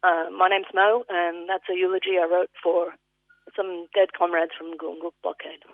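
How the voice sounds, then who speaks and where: A woman's voice: lowish voice, level tone, normal speed. A woman with an Australian accent reading a personal eulogy as vivid literary storytelling. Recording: thin phone-line sound.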